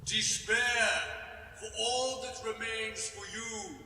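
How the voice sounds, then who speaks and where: A proud northern warlord declaims solemn threats with zeal. The voice is raised high and very forceful, slow in delivery, ringing in long reverb as a fantasy monologue. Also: male voice, steady tone, distant mic, a little hiss or hum, full character voice. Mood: menacing zeal. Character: menacing northern heathen warlord, proud and zealous.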